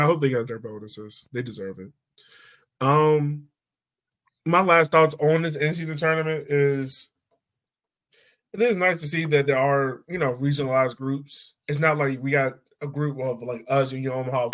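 A man's voice: medium pitch, steady tone, moderate pace, fairly bright tone. A man hosting a sports podcast in a casual, conversational way. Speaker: podcast host.

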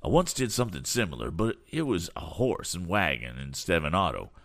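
A man voicing a modest, obliging balloonist in an adventure storybook, brisk and exclamatory. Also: low voice, sing-song, fairly bright tone, exaggerated voice. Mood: modest, keen.

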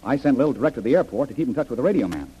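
Husky, animated radio adventure hero. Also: male voice, mid-range voice, racing. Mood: troubled. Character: decisive trusting adventurer man, troubled by a puzzle. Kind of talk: old-time radio adventure acting. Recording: dull old radio recording.

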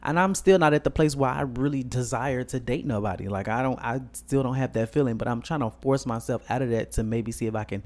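A man's voice: medium pitch, brisk, hardly any pauses, darkish tone. A man, talking bluntly in casual chat, swearing and laughing. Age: 20s.

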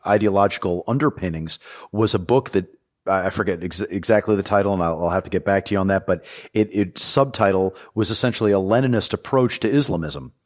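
A man with a very low, deep voice walks a podcast host through history. He talks in a thoughtful, explanatory way, pausing with fillers and restarting phrases. He sounds like a former soldier now speaking as an informed guest.

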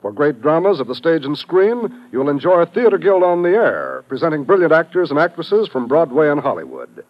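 An American man as a vintage radio announcer. He is dramatic and polished, with a fairly high, very animated voice on a muffled old broadcast. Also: brisk, hardly any pauses. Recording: dull vintage radio recording.

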